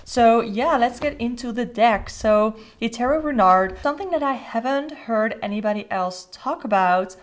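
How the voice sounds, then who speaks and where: A woman's voice: a little high, normal speed, hardly any pauses. A woman with a Dutch accent, a native Dutch speaker who talks in English as a tarot host. She chats casually and rambles with fillers and restarts while showing a deck. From Netherlands. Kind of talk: casual deck flip-through.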